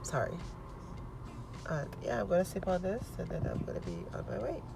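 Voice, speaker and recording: A congested woman chatting casually in a vlog, talking her plans through out loud. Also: lowish voice, huge pitch swings, brisk, creaky, over music. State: congested from allergies. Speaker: vlogger. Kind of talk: casual vlog chat to camera.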